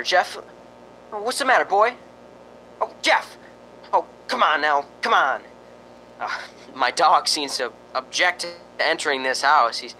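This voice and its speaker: Jittery, wisecracking radio reporter, bright and animated man acting. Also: fairly high pitch, slight background noise, exaggerated voice, low-quality recording. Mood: exasperated. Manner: folksy, wisecracking, nervous stammering. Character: jittery, joking, skeptical male radio reporter on a ghost hunt. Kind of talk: amateur radio drama acting.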